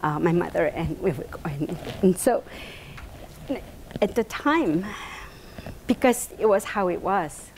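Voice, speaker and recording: A woman's voice: lowish voice, measured pace, pauses often, a little hiss or hum. A woman in her fifties from South Korea with a Korean accent, giving a personal talk to an audience in a meeting room, hesitant with many fillers. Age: 50s. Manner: hesitant, many fillers, animated hand gestures. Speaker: invited speaker. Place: bright meeting room indoors.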